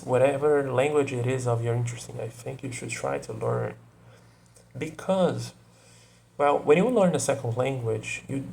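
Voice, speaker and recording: A young Brazilian man, native Portuguese speaker, talking casually to camera in English with a Brazilian accent, often pausing to search for words and adding "you know". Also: mid-range voice, creaky, darkish tone, slight background noise. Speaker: video creator.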